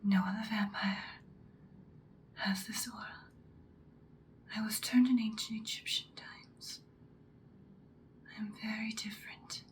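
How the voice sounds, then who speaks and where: A woman voicing an imperious, seductive ancient vampire in a breathy, dramatic whispered ASMR roleplay. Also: medium pitch, fairly even intonation, lightly acted. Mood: wary, intrigued. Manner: slow, deliberate, dramatic. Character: ancient female vampire, seductive, imperious, menacing yet protective.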